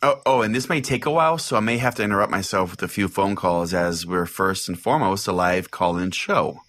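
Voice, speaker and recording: A man's voice: sits low, fairly quick, fairly bright tone. A man playing an irritable, foul-mouthed pastor who hosts a comedic call-in radio show, sarcastic and theatrical in his delivery. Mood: irritated ranting. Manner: exasperated, sarcastic, theatrical storytelling.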